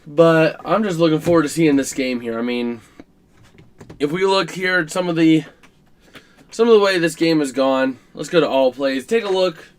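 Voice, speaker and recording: A man hosting a casual live stream about a college football game, his voice scratchy and rough, chatting to viewers with plenty of fillers. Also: a little high, normal speed. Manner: chatty, filler-heavy, talks to chat while working the stream.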